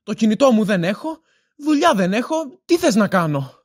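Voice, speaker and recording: A woman's voice: fairly high pitch, sing-song, a little mellow, slightly rough. A woman playing a bored, cooped-up, childlike grumbler in an audio drama, playful and whiny. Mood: bored, complaining. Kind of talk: Greek audio drama acting.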